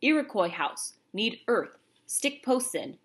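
Woman reading as a stoic warrior man, terse, clipped, lowered voice, storybook. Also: slow. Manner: clipped broken phrases, calm.

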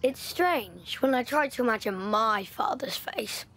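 A woman's voice: fairly high pitch, animated, a little slow, a bit bright, heavily acted. An actress playing a thoughtful young girl evacuated from London, telling her story in a reflective way. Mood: wistful. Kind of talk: audio drama acting.

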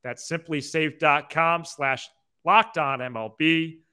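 A man's voice: a little high, fairly even intonation, takes it slowly, pushed and bright, smooth. A young man hosting a sports podcast in a casual style, on a close microphone in a small room. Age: young adult. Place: small indoor room on a video call. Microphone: close podcast microphone with headset.

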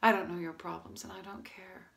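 A woman acting a worldly, blunt, cynical seductress in a stage monologue, speaking deliberately and quietly, often near a whisper. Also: flat delivery. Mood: scornful, sensual. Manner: low-key, deliberate, cutting, often breathy near-whisper.

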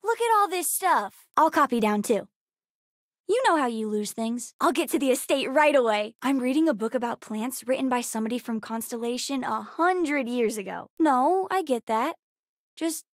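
A woman voicing a bright, bookish, chatty young girl with an American accent, as a video game character. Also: a little high, moderate pace, no echo, studio quality. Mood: subdued, worried. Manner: chatty, expressive, youthful.

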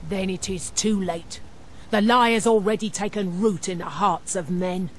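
A woman's voice: medium pitch, normal speed, lightly acted. Woman doing menacing cult zealot from a video game, preachy and solemn, bit of background noise. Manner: solemn, declamatory. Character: fanatical cultist, accusing and threatening. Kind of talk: video game character dialogue.

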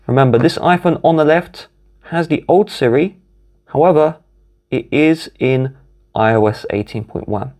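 A man's voice: a little high, darkish tone. British man walking through phone steps. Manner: instructive, methodical, walks through each tap. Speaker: tech tutorial host. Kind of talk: step-by-step phone tutorial voice-over.

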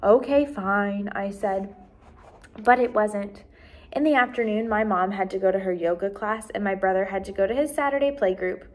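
A woman in her twenties reading a children's book aloud with expressive, clearly enunciated, emphatic phrasing for young listeners. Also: mid-range voice, normal speed. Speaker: first grade teacher reading aloud.

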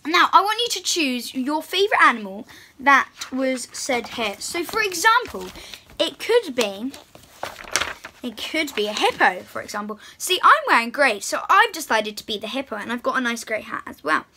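A young girl, presenting to little children in an excited, encouraging way and reading picture books aloud. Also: high-pitched, normal speed. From England. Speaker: host of a children's read-aloud channel. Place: small room at home, indoors.

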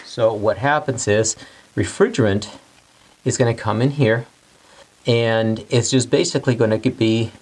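A man's voice: medium pitch, unhurried. A man in his forties, an HVAC instructor, explaining a technical topic step by step at a whiteboard in a studio and repeating the key points. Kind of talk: whiteboard teaching lesson.

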